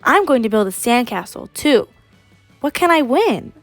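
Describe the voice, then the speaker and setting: Teen girl playing eager little storybook boy, super high and bouncy, emphatic. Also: moderate pace, no room sound, soft background music. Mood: excited. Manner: excitable, emphatic. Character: eager, insistent little boy in picture book.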